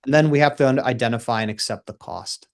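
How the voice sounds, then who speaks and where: A man's voice: medium pitch, fast, gravelly. A man in his thirties guesting on a radio show as a coach, speaking with an emphatic coaching cadence and a casual, easygoing manner. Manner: laughs easily, casual. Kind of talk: radio interview.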